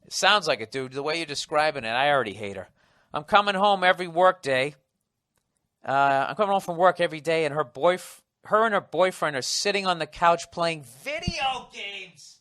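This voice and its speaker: A man's voice: a little high, animated, normal speed, sharp tone, dry recording, studio quality. A man in his forties with a Boston accent, hosting a podcast in a casual comedic monologue, cursing often and riffing sardonically as he reads a listener's letter aloud. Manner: profane, sardonic, riffing between reading lines. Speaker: podcast host.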